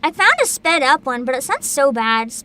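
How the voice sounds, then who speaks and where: High, bright, bubbly woman streamer. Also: normal speed. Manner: excitable, bubbly, giggly. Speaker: livestreamer host. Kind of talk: casual livestream chat with viewers.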